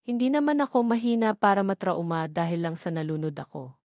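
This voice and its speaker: A woman's voice: lowish voice, steady tone, a little fast, darkish tone, no room sound, clean studio sound, lightly acted. A woman with a Filipino accent voicing a feisty, independent young woman in an audio drama romance. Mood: reassuring. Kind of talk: scripted audio drama dialogue.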